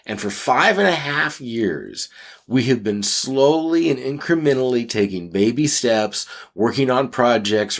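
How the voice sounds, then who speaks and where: A man's voice: lowish voice, a bit bright. A man chatting conversationally as a podcast co-host.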